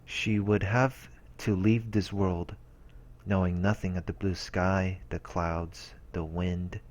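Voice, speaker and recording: Deep-voiced man narrating a visual novel. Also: little pitch movement, takes it slowly. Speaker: let's play host reading aloud. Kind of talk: scripted narration, reading visual novel text aloud. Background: soft game music.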